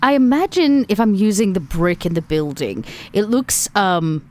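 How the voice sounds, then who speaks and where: A woman's voice: mid-range voice, very animated, normal speed, darkish tone. A woman casually describing her character's actions as a player in a roleplaying game, in a thoughtful way.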